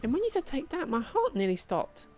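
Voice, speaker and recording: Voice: high voice, wildly swooping pitch, brisk, darkish tone, pitched above the performer's own voice. A video game player voicing a polite, eager young boy apprentice in an earnest manner.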